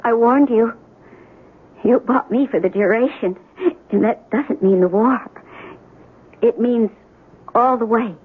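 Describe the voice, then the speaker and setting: An actress playing a devoted, possessive young American military wife in an old-time radio drama, intimate and insistent in manner. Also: female voice, fairly high pitch, slow, long pauses, breathy edge, narrow, tinny audio. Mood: firm, possessive. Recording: band-limited old radio broadcast, faint music.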